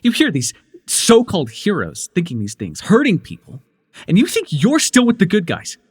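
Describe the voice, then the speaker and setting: Man acting intense villain mentor, very animated. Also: high-pitched, moderate pace, slightly rough, exaggerated voice. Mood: stern, angry. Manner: intense, emotive, swinging between biting and tender. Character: sardonic male telepath villain and reluctant, protective mentor. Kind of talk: immersive superhero audio roleplay acting.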